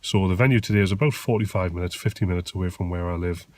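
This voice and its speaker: A man's voice: deep voice, very fast, a little mellow, a little hiss or hum. A man who shoots weddings, chatting casually to camera, tired and nasal from a cold. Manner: chatty, rambling, down to earth. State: tired after a long day. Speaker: wedding photographer vlogger. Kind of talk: casual vlog chat to camera. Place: in a car arriving home.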